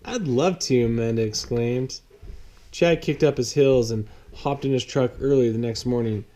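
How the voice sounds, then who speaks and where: A man's voice: mid-range voice, fairly even intonation, normal speed, warm tone. A man reading a children's picture book aloud as a bedtime story.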